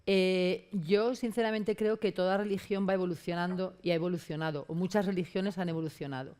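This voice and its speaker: A woman on a conference panel answering a question with her own opinion in a firm, measured manner. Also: on the low side, fairly even intonation, darkish tone.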